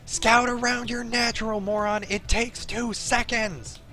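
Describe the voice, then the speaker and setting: Brash, shouting man-voiced gamer woman, higher pitched. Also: little pitch movement, unhurried, faint music behind, exaggerated voice. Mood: furious, jeering. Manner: shouty, impatient jeering. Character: brash, hot-tempered young woman pro gamer, loud heckler.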